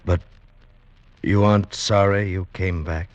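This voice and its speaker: A gruff deep-voiced rescuer. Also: male voice, fairly even intonation, measured pace. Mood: concerned. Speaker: actor in a radio drama. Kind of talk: 1940s radio acting. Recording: dull lo-fi old radio recording, voice set back from the mic.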